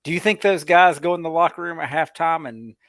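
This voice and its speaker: A man talks through his take on a sports podcast, thinking out loud and doubling back on his words. His voice sits a little high for a man. The sound is dry, with no room around it. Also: brisk, no room sound. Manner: rambling, repeats words while thinking. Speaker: podcast panelist. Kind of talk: casual sports chat.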